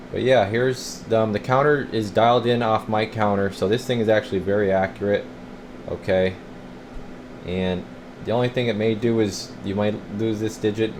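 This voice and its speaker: A man's voice: fairly low pitch, background noise. A man giving a casual, rambling hands-on walkthrough as a radio technician, thinking aloud as he works.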